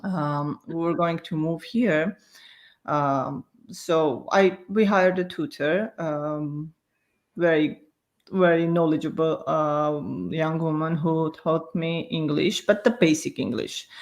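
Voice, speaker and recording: A woman's voice: deep voice, slow pace. A middle-aged woman from Armenia with an Armenian accent, speaking reflectively in an interview and often searching for words.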